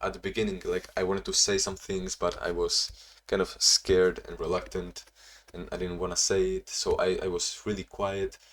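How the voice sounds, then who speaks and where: A young man talking in a casual, reflective diary-style vlog, halting with frequent restarts and sounding a bit sleepy. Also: deep voice, monotone. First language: not English; Portuguese not first language either. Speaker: vlogger.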